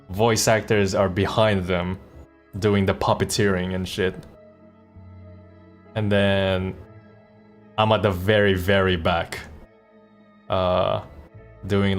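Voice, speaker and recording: A man's voice: deep voice, level tone, takes it slowly, pauses often. A man chatting casually on a live stream as host, slangy and wry, rambling from one thought to the next. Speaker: streamer and voice actor. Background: faint music.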